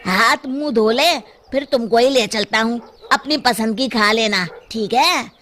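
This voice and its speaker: A woman voicing a worried village father, earnest and conversational, in an animated children's story. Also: on the high side, unhurried. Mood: fatherly.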